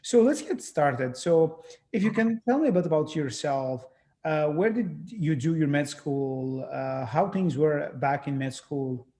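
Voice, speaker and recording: A young man from Syria hosts a casual interview. His voice is a little higher than most men's. He asks questions briskly and in a friendly way over a video call. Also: animated, mellow. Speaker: interview host.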